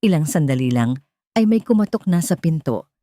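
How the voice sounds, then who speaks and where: A woman's voice: low-pitched, big pitch swings, moderate pace, warm tone, dry recording. A woman narrator reading a scripted love story in a dramatic storytelling style.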